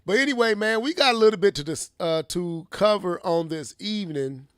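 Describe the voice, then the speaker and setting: A man hosting a casual gaming news stream, sounding stuffy and scratchy from congestion, slangy and quick to laugh. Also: high voice, smooth, no echo, clean studio sound. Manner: animated, slangy, laughs often. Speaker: stream host. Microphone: studio earphones with mic.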